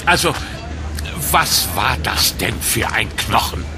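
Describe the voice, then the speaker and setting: An actor playing a blustering, bemused police commissioner in a children's audio drama, musing in drawn-out puzzlement. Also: male voice, lowish voice, animated, gravelly, over music, heavily acted. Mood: puzzled.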